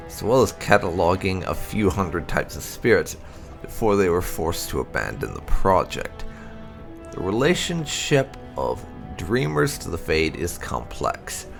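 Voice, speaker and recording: Low-voiced man reading fantasy lore, lively intonation. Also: vocal fry. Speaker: gaming channel host reading lore entries. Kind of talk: read-aloud narration of fantasy game codex.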